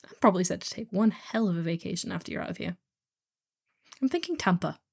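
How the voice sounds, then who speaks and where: Woman acting as a snarky, bored kidnapper, lively pitch. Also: fast, creaky, husky, heavily acted. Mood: wry, nonchalant. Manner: snarky, casual, bored drawl with sighs. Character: snarky, bored, heartless female kidnapper for hire. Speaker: voice actor. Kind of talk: scripted one-sided audio roleplay drama.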